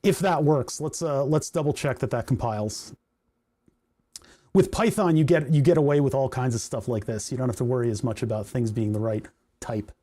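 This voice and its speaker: Chatty mellow-voiced man, voice going hoarse. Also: medium pitch, normal speed. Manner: rambling, chatty, laughs often, reads chat aloud. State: voice starting to go hoarse. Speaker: livestream host. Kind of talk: casual livestream chat while coding.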